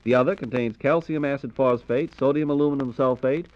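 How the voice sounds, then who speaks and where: An American man hosts in the style of 1940s radio, with a medium-pitched voice. He reads his script formally and courteously, and the old recording sounds dull and muffled. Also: brisk. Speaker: radio host.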